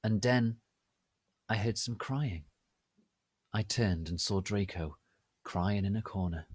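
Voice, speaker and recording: Slow, low-voiced theatrical male narrator. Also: slightly rough, no room sound. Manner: deliberate, theatrical. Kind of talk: dramatic story reading.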